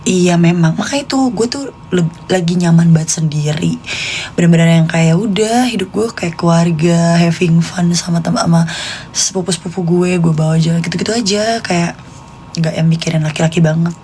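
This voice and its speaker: A woman's voice: on the low side, normal speed, mellow, slight background noise. A woman in her late twenties with a Jakartan Indonesian accent, chatting casually and confidingly to live stream viewers in informal slang. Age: late 20s.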